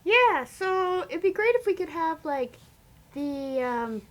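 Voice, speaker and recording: A woman's voice: very high-pitched, big pitch swings, slow pace. A woman chatting casually and playfully as a guest co-host. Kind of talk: casual chat.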